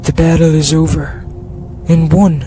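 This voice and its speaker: A man playing a proud war general in an amateur radio drama, speaking in a declamatory, deliberate way. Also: a little high, flat delivery, noisy background, natural voice. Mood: triumphant. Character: proud Scottish war general, startled and disbelieving.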